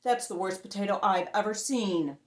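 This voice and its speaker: A woman voicing a bossy male mud monster in a storybook, booming, blunt and pushy. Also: moderate pace, reverberant, lightly acted. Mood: mocking. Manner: blunt, insistent, taunting.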